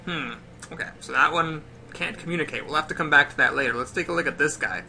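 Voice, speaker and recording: A male game host with a bright, forceful voice. He narrates the play-through like a storyteller, stopping to recap and explain in a presenter's manner. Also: on the high side, fast, creaky, slight background noise.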